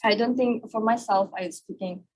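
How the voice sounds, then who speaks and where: A young woman from Afghanistan, a student on a panel, answering with many pauses and restarts. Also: on the high side, steady tone, darkish tone. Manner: hesitant, many fillers and restarts.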